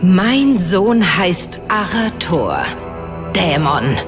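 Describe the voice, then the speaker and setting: A woman playing a tough, sharp-tongued archer with a dry, curt manner in an audiobook drama. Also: lowish voice, very animated, extremely slow, music in the background, heavily acted. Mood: cold.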